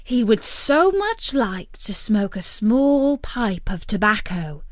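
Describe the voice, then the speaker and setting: Woman reading a fairytale, really lively pitch, takes her time, dry close sound. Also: no room sound. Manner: expressive, deliberate storybook delivery. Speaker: storyteller. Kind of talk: scripted fairytale narration.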